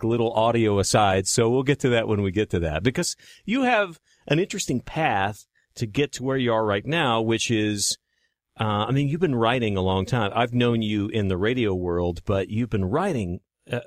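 A man's voice: fairly low pitch, lively intonation, normal speed, no echo, clean studio sound. A middle-aged man interviewing casually as a podcast host, with a joking, teasing manner.

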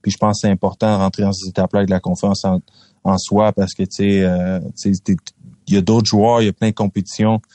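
Young Québécois man, low and dry. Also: quick pace, no echo. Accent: Québécois French. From Quebec. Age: young adult. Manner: relaxed, repeats words, many fillers. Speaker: football draft prospect guest. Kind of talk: casual interview answers.

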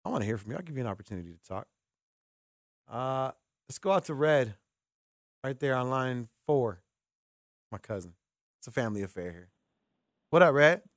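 A man's voice: mid-range voice, very animated, normal speed, pauses often, no room sound, clean studio sound. A man hosting a sports call-in radio show, talking casually and giving opinions, repeating words for emphasis. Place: radio studio. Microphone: close studio mic.

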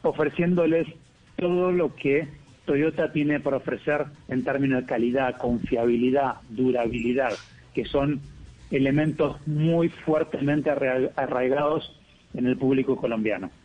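A man with an Argentine accent, a car company executive speaking as a guest in a radio interview by phone, in measured corporate phrasing with fillers. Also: mid-range voice, steady tone. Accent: Argentine Spanish. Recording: tinny phone line.

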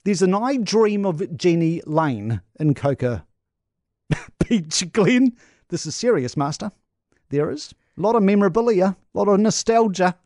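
A New man telling a travel story on breakfast radio with animated enthusiasm. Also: sits high, measured pace, no echo, studio quality. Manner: animated storytelling, talks up attractions. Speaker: travel correspondent.

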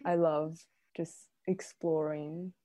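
A woman's voice: deep voice, flat delivery, takes it slowly, dark tone. A young woman from Taiwan chatting casually on a student podcast, giggly and full of "like". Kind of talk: casual chat.

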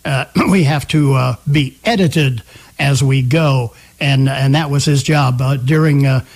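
A man's voice: medium pitch, measured pace. A man hosting a live talk radio show, chatting conversationally on a close studio microphone.

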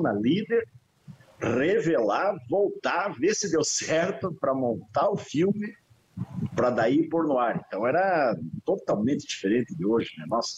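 A man's voice: mid-range voice, slightly rough, muffled recording. A cameraman talking casually about his early work, ending phrases with tag questions. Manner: relaxed, trailing "né?" tags. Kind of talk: casual reminiscing.

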